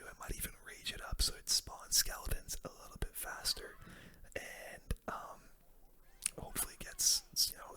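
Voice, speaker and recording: A gaming video host giving relaxed, conversational ASMR commentary on their own play in a soft, breathy whisper.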